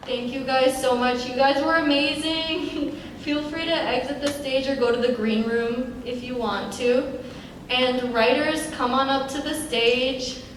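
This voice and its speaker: A woman's voice: high-pitched, fairly even intonation, unhurried. A young adult woman, a student writer, speaking informally to people from a stage. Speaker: student writer introducing the panel. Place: on stage in a large room. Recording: echoey, distant, crowd and clear noise.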